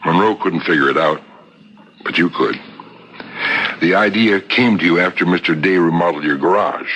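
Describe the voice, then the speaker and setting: Deep, forceful police detective, old radio mystery. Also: male voice, moderate pace, breathy edge. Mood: methodical, probing. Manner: accusing, methodical, insistent. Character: shrewd, relentless male police detective laying out a murder case. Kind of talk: 1970s radio mystery drama acting. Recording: dull 1970s radio broadcast recording.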